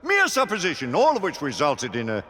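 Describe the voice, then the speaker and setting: An actor playing a stern, pompous older Lord Chancellor with a British accent, speaking in formal oratory in a video game cutscene. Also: male voice, fairly high pitch, wildly swooping pitch, fairly quick, sharp tone, exaggerated voice. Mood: angry. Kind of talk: theatrical game cutscene acting. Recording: long hall reverb.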